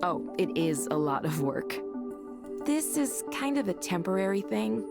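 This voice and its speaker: An actress playing a confident, sociable manager in a visual novel game, speaking in an assured, conversational way. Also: female voice, medium pitch, very animated, unhurried. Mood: confident, welcoming. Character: busy, confident, sociable female counseling center manager. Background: loud music.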